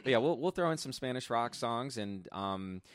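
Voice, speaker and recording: A man's voice: medium pitch, expressive, normal speed. A man in his fifties, born in Colombia, telling his life story as a podcast guest in a friendly, easygoing way. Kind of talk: casual interview chat.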